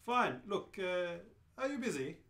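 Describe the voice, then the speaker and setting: Echoey, insistent deadpan friend, played by a performer. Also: medium pitch, unhurried. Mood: urgent, insistent. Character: urgent, cryptic male alien friend, deadpan. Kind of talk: amateur radio play reading. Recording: roomy, echoing live reading.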